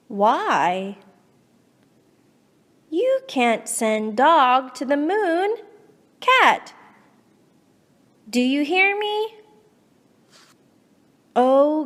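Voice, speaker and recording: A woman reads a picture book to children very slowly and deliberately, with long reverb around her voice. She coaxes and reacts with exaggerated feeling, as if talking straight to the character. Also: high-pitched. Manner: animated, coaxing, exaggerated reactions. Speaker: children's storybook reader.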